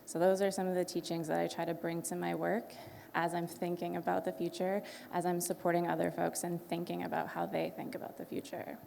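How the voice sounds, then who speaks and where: A woman's voice: lowish voice, level tone, fairly quick, gravelly, hardly any pauses, warm tone. A woman with a Canadian accent, giving thoughtful prepared remarks as a panelist. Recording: faint background noise.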